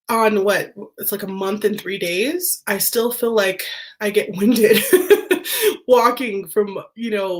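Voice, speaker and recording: A woman talks casually to her viewers in a chatty, rambling way, full of fillers and small laughs. Her voice is nasal and congested, as if she is still getting over being sick. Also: mid-range voice. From United States. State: recovering from illness, congested. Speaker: vlogger. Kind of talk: casual chat to camera.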